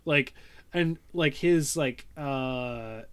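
A young man from Ohio co-hosting a casual podcast, excitable and laughing often, with many "like" fillers. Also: medium pitch, extremely slow, clean tone. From Ohio, USA. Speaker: podcast co-host.

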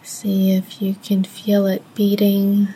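A soft-spoken woman leading a guided meditation in gentle, measured phrases with long pauses. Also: mid-range voice, monotone, slightly rough, rough recording.

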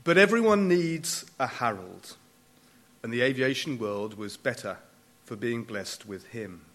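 A man giving a prepared memorial speech, formal and deliberate, with emphatic pauses and dry wit. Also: mid-range voice, lively intonation, a bit bright. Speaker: closing speaker at a memorial event.

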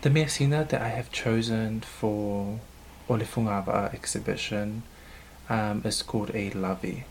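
New man, cultural leader, fairly low voice, measured and hesitant with ums, faint room hum behind. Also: fairly even intonation. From New Zealand. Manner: thoughtful, hesitant, frequent um fillers. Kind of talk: interview answers.

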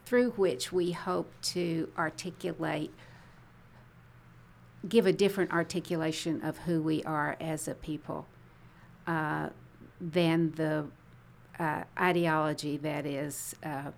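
A woman author speaking slowly and deliberately in an interview, pausing often as she chooses her words. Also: sits low, fairly even intonation, creaky. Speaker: guest author. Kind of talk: casual interview answers.

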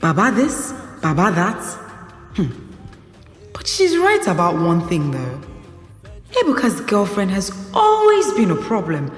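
A woman playing an ambitious, self-assured young Nigerian wedding planner in an audio drama, expressive and slipping into Pidgin. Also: medium pitch, a little slow, pauses often. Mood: dismissive, musing. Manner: expressive, mixes English with Pidgin. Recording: roomy reverb, faint music behind.

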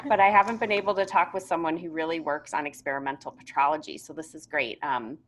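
A woman's voice: low-pitched, fairly quick, a bit bright. A woman hosting a podcast, asking questions in an effusive, enthusiastic way. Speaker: podcast host. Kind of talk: casual interview talk.

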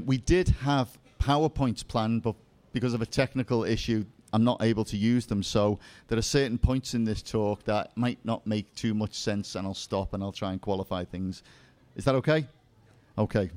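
A man's voice: fairly low pitch, moderate pace, darkish tone. A man with a Liverpool accent giving a seminar talk on faith to a live audience, with self-deprecating jokes and asides. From England. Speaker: seminar speaker.

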